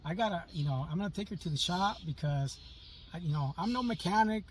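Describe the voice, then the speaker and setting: A man's voice: sits high, expressive, brisk. A man co-hosting a casual podcast, rambling and repeating himself, with corny dad jokes, sitting outdoors in an open-top Jeep. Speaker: podcast co-host. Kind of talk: casual chat. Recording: faint noise, distant from mic.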